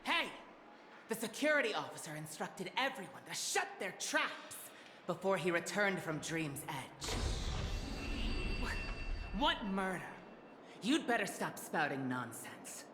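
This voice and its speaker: A tough, irritable game guard with a lowish, lively voice. She is curt and bossy, ringing in a long hall reverb. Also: a little slow, long pauses, noisy background. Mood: irritated. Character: brusque, irritable, tough female hotel guard. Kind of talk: video game voice acting. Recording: echoey hall reverb, loud game music.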